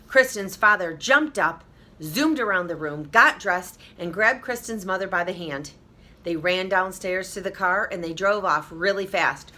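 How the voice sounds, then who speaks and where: A woman's voice: on the low side, measured pace, sharp tone. A woman teacher reading a children's story aloud expressively, with emphasis, addressing young listeners directly.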